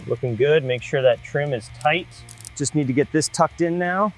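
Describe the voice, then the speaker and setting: A man with a slightly high-pitched voice talks through car restoration work as a mechanic. His phrases are short and procedural, one step at a time. Faint music and vehicle sounds sit behind him. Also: normal speed. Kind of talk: short step-by-step workshop commentary.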